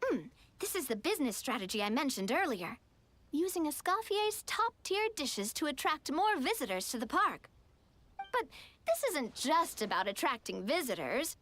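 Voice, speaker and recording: A woman voicing a small, excitable, childlike companion in a video game, squeaky and perky. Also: moderate pace. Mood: enthusiastic explaining.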